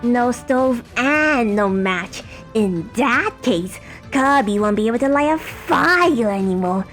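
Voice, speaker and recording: A woman voicing a smug male fireball henchman in a fan radio play, taunting and gloating with drawn-out words. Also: a little high, lively intonation, fairly bright tone, faint music behind. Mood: scheming.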